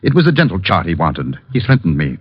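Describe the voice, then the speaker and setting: A man's voice: sits low, very animated, fast talker, breathy edge, no room sound, heavily acted. An actor playing an anxious male dentist who fears for his life, hesitant and grave, in a 1940s radio drama. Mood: worried, grave. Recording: very thin, phone-like old radio recording.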